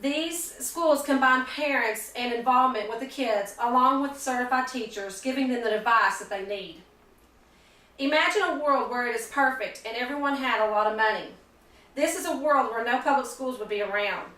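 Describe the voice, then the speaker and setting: Woman, student speech, earnest, halting, restarts, bright, roomy echo. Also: fairly high pitch. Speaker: student giving a class speech. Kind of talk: persuasive speech. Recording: echoey room, mic far off.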